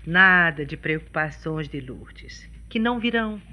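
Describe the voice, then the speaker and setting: A gentle, hopeful bride-to-be in a radio soap. She speaks slowly and expressively in a husky, bright-edged voice. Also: sits low, expressive, a little hiss or hum, exaggerated voice. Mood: hopeful, sincere. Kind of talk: radio soap opera acting.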